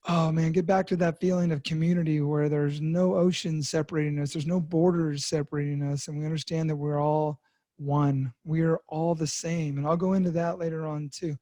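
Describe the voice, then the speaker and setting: A man's voice: on the high side, dark tone, dry recording, studio quality. A man in his fifties telling his own recovery story in a candid monologue, with many fillers and restarts. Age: 50s. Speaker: podcast host sharing recovery story.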